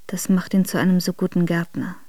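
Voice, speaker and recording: A woman playing a devoted young exiled noblewoman in a radio drama, reading her letters in a measured, intimate and formal way. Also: fairly low pitch, little pitch movement, mellow, dry recording, close to an everyday voice. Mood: quietly content.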